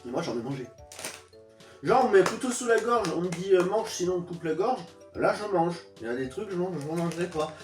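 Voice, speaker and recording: A man's voice: sits high, expressive, fast, darkish tone, far from the mic, faint music behind. Stuffy-nosed man joking in an echoey room. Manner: joking and grumbling. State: stuffy nose from a cold. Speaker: co-host of a food tasting channel. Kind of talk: casual chat.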